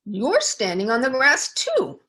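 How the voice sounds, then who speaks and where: A woman's voice: high-pitched, huge pitch swings, a little slow, sharp tone, voice pushed up, heavily acted. A woman voicing an earnest, literal-minded little girl in a children's storybook, innocent and matter-of-fact.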